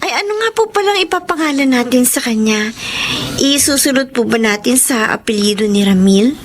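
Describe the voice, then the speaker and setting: Woman acting high-voiced, shy Filipino young mother. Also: lively intonation, fairly quick, noisy background, lightly acted. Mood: delighted. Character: shy, polite, anxious young new mother. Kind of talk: Filipino radio drama acting.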